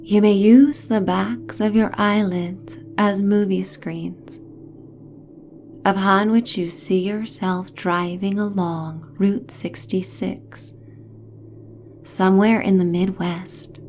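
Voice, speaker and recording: A woman narrating a guided sleep story in a soothing, gentle, hypnotic manner, with phrases trailing off into breathy near-whispers. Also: medium pitch, steady tone, slow. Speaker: narrator and host of sleep stories.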